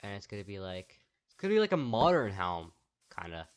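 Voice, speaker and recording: A man's voice: on the low side, sing-song, brisk. Rambling young man, voice worn from talking. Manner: rambling, upbeat, thinks aloud with fillers. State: voice tired and strained. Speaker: gaming YouTuber. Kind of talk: casual gameplay commentary.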